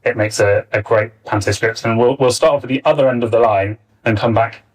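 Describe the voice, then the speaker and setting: A man's voice: mid-range voice, fairly even intonation, fairly quick. A man aged thirty chairing a live panel discussion, introducing guests and putting questions to them. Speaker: panel chair, writer and director. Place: arts centre theatre, live panel. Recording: distant, varying-quality backup live recording.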